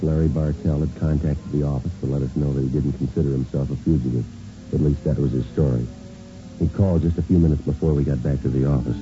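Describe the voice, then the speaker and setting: An actor playing a stoic American police detective, narrating his case in a clipped, deadpan, matter-of-fact radio drama style. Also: very deep voice. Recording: dull vintage radio recording, music behind.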